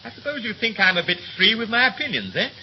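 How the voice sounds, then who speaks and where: A young third officer in a 1930s radio play, with a highish, very animated voice. He is breezy, clipped and slangy, with a resentful edge. The recording is muffled, with a faint hiss. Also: fast. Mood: bitter, indignant. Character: young ship's third officer, chatty, scornful, bitterly resentful.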